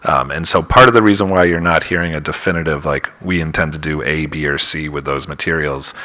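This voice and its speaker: A man representing an applicant at a public hearing, summarizing points in a measured, lawyerly way. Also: very deep voice, fairly even intonation, sharp tone. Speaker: applicant's attorney. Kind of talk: formal advocacy at a public hearing. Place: town hall meeting room.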